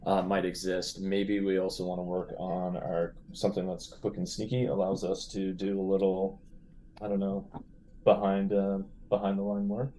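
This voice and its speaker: A man's voice: low voice, dark tone, slight background noise. A man chatting casually as a player in a tabletop role-playing game, with a wry, playful manner.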